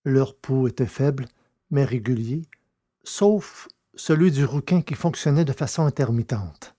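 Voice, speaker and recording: A man reading a science fiction story aloud in a steady, measured narration. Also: medium pitch, slightly rough. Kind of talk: scripted audiobook narration.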